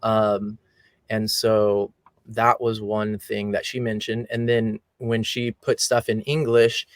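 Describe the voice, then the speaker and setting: An American man hosting an interview on a remote call, relaxed and conversational with frequent ums. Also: lowish voice, slow pace, dry recording, clean studio sound. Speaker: podcast host. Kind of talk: casual interview.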